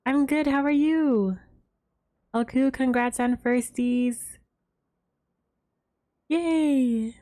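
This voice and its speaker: A woman's voice: high voice, very slow, long pauses, warm tone. A woman hosting a casual gaming livestream, chatting with viewers in a bubbly, giggly way. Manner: bubbly greetings, giggles often. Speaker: live streamer host.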